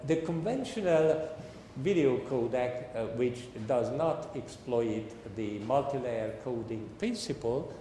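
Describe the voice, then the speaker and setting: A man's voice: mid-range voice, mellow. Echoey older man lecturing. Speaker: academic lecturer, professor. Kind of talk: technical academic lecture. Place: large lecture hall. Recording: echoey hall with long reverb, background noise.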